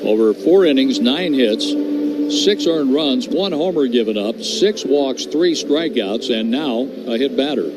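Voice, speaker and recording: A man doing radio play-by-play for a baseball game, reading stats and calls in a steady, measured way. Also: mid-range voice. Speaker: play-by-play announcer. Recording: noisy broadcast audio.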